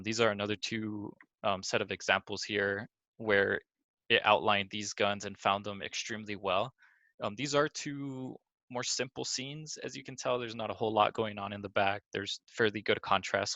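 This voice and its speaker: Bright-voiced young man presenting, fairly low-pitched. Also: no room sound. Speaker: student presenter. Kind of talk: informal project presentation.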